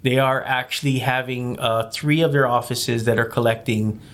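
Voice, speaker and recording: Middle-aged man from Hawaii, guest in a studio chat, easygoing, halting and full of fillers, mid-pitched. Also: fairly even intonation, a bit bright, slight background noise. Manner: conversational, many fillers and restarts. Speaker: managing director of a community group, guest. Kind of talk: casual studio interview.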